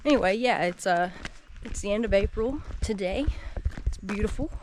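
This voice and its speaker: A woman's voice: medium pitch, moderate pace. Rambling woman hiker in her thirties. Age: 30s. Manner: rambling, scattered, laughs often. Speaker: solo hiker vlogger. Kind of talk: casual walking vlog chat. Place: wooded trail outdoors. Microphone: action camera mic carried while walking. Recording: outdoor background noise.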